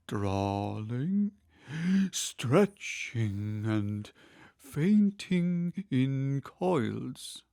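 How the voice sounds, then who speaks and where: Man voicing a slow, mournful storybook turtle. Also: medium pitch, a little mellow, clear, resonant tone, dry recording, studio quality. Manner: drawn-out, mournful delivery with long stretched words. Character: melancholy, sighing, slow male Mock Turtle. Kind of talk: storybook character reading.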